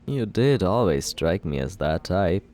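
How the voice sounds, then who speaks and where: A man playing a deep-voiced cocky young villain. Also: sing-song, a little slow, darkish tone. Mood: teasing. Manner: teasing, self-justifying, laid-back. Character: cocky young male villain courting the listener. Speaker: ASMR roleplay performer. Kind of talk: ASMR roleplay.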